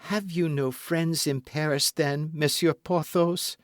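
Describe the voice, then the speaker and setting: A man's voice: fairly high pitch, steady tone, slow, no echo, clean studio sound, voice pushed up. A man reading an audiobook, voicing a proud, anxious lawyer's wife in a raised, pleading voice. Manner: piqued, pleading.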